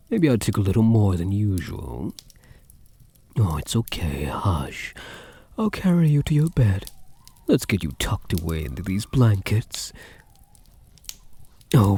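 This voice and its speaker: A man performs a possessive, doting vampire count in an intimate ASMR roleplay. The voice is dark and breathy, murmured slowly and slipping often into whispers, with tenderness under the menace. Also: on the low side, exaggerated voice. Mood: teasing, possessive. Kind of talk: soft-spoken ASMR roleplay.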